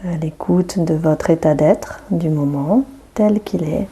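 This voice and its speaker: A woman guiding a yoga nidra meditation with a soft, breathy voice, giving calm, gentle instructions with long pauses. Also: deep voice. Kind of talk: guided relaxation meditation.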